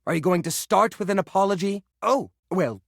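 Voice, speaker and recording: An actor voicing a fussy, anxious junk drawer come to life in a video game, theatrical and flustered, with old-fashioned folksy exclamations. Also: male voice, sits high, lively intonation, normal speed, no echo, clean studio sound. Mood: hurt, sulky. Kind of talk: video game character voice acting.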